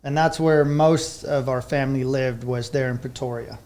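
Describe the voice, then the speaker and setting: A man in his thirties with a South African accent, whose first language is Afrikaans, talking as a podcast guest. He tells his story in an easygoing, meandering way, and his voice sits at an everyday middle pitch. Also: steady tone, moderate pace, darkish tone. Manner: easygoing, rambling recollection. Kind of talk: casual interview storytelling.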